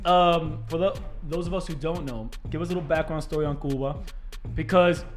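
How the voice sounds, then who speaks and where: A middle-aged man hosts the podcast in a chatty, loose way, laughing along and dropping in Spanish phrases. His voice sits a little on the high side, with faint music in the background. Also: steady tone, brisk. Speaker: podcast host. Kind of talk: casual interview chat.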